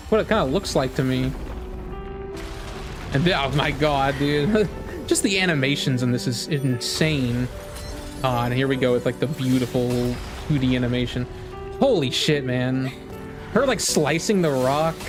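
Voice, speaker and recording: Casting: male reaction host, slightly high voice, rambling and slangy, excitable, game music audible behind. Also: unhurried, long pauses. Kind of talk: casual live reaction commentary. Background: music from the game trailer.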